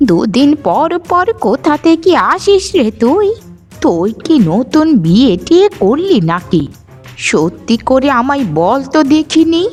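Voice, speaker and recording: A woman's voice: high voice, big pitch swings, measured pace, darkish tone, faint music behind. A woman voicing an aggrieved elderly village grandmother in a cartoon, plaintive and reproachful. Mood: scolding. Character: aggrieved elderly village grandmother, scolding her wayward son. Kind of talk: cartoon voice acting in a moral story.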